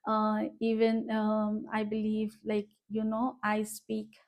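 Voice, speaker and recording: Indian woman vlogger, slow and level-voiced, hesitant and reflective, speaking from her room. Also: mid-range voice, monotone. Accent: Indian English. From Northeast India. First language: Bengali. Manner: reflective, hesitant, many fillers. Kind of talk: personal vlog monologue.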